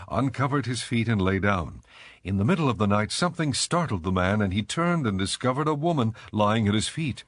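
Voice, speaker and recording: A man narrating scripture from a script in a measured, solemn manner. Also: fairly low pitch, no echo.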